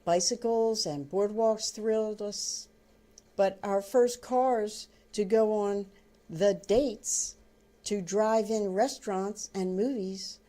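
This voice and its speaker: An older woman reading her own book aloud as storybook narration, in deliberate, halting phrases. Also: medium pitch, dark tone.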